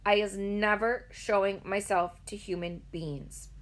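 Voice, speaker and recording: A woman voicing a big, kindly male giant who talks in slow, muddled grammar. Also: mid-range voice, steady tone, close to an everyday voice. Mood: earnest, rueful. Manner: mangled grammar, slow and emphatic.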